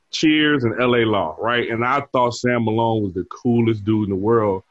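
A man's voice: medium pitch, a little slow, no room sound, highs cut off. A man in his forties, a bar manager, chatting casually as an interview guest and telling stories with frequent laughs. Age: 40s. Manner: easygoing storyteller who laughs often.

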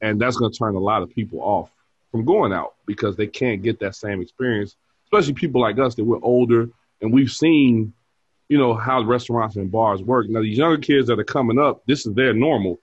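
A man's voice: mid-range voice, a little fast, husky, dry recording, dull audio. A man in his forties, a bar manager, chatting casually as an interview guest and telling stories with frequent laughs. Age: 40s. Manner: easygoing storyteller who laughs often.

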